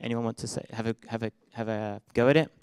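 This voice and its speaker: A man's voice: medium pitch, steady tone, quick pace, darkish tone, smooth, no echo, clean studio sound. A young man with a British accent giving a casual talk at a meetup, speaking into a handheld microphone, hesitant with many fillers and restarts. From Britain and Israel. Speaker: conference speaker. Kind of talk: live meetup presentation. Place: meetup room with projected slides.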